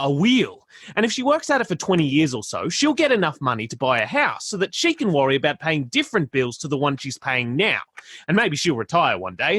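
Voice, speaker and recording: An actor in a radio play playing a laid-back young stoner philosopher who rambles and stumbles through slangy musings. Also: male voice, fairly high pitch, fairly bright tone, slightly rough, no room sound, clean studio sound, exaggerated voice.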